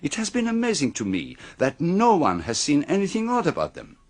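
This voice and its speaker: An actor playing a precise, theatrical detective in a radio mystery, speaking formally with dramatic pauses. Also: male voice, on the high side, huge pitch swings. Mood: deliberate, theatrical. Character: precise, theatrical male foreign detective revealing a murderer.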